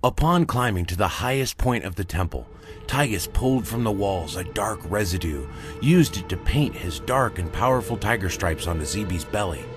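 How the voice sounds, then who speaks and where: A man's voice: lowish voice, lively intonation, moderate pace, soft background music. A man reading a children's story as a scripted storybook narrator.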